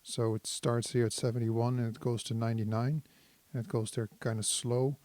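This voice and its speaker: A man giving a casual hands-on tutorial as a synthesizer demonstrator, thinking aloud in an unhurried, explanatory way. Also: medium pitch, flat delivery, very noisy.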